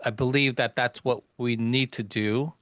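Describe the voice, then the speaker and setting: A man's voice: medium pitch, steady tone, normal speed, fairly bright tone, dry recording. Hesitant male podcast host, dry sound. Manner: hesitant, many "um" and restarts. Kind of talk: interview questions, casual.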